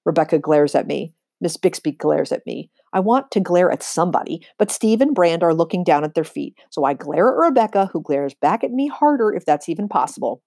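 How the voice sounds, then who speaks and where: A woman reading a children's novel aloud with expressive, emphatic storytelling. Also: on the low side, brisk, gravelly, few pauses, no room sound, studio quality. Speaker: read-aloud reader.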